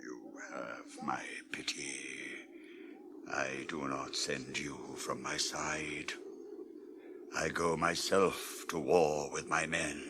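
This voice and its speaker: A man's voice: very deep voice, level tone, takes it slowly, bright, forceful tone, slight background noise, heavily acted. An actor with a British accent playing a proud, resolute old warrior king, declaiming solemnly in a fantasy radio play. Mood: grave, stern.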